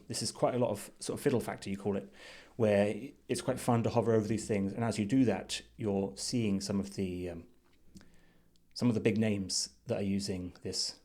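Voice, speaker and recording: A man giving unscripted screen-share commentary as a reviewer, thinking aloud in a measured, thoughtful way. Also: on the low side, mellow. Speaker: design reviewer.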